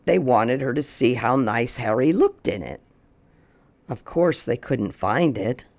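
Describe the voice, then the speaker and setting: Slow, animated storyteller with a creaky edge. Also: medium pitch. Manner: expressive, slow, drawn-out emphasis. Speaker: storyteller reading a picture book aloud. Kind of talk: animated children's read-aloud.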